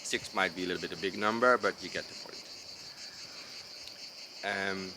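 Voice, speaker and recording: A man's voice: low voice, moderate pace, background noise. A man from the Netherlands with a Dutch accent, explaining his design approach in a casual, hesitant monologue full of fillers and restarts. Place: outdoors in rural mountains.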